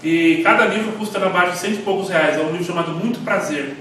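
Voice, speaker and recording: A man with a Brazilian Portuguese accent, telling stories in a rambling, animated way while teaching a church class. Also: sits high, fast. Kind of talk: informal church class talk. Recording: echoey hall, mic far off, faint noise.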